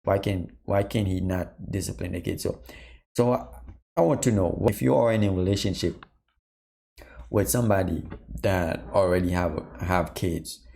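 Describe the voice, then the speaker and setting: Deep gravelly-voiced male commentator. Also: moderate pace, warm tone. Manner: thinking aloud, posing questions to viewers. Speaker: reaction video host. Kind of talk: commentary on a clip.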